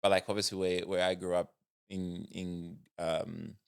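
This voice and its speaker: A man's voice: deep voice, little pitch movement, moderate pace, gravelly, smooth, dry recording, clean studio sound. A young man from Zambia, a startup founder, sharing reflective stories as a podcast guest. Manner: reflective, storytelling, frequent restarts. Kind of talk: casual podcast conversation.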